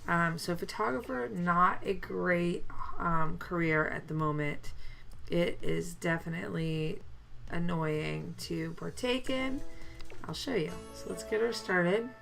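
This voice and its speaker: Gravelly-voiced woman narrating a mobile game. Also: lowish voice, slow, a bit bright, faint music behind. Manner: chatty, mildly complaining, lots of fillers. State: deepened voice from a chest cold. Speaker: let's play gamer. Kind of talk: casual gameplay commentary.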